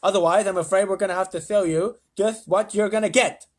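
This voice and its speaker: A man voicing a blustering, loud male president who yells in exasperation. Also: fairly even intonation, a little fast. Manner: yelling, high-pitched, exaggerated.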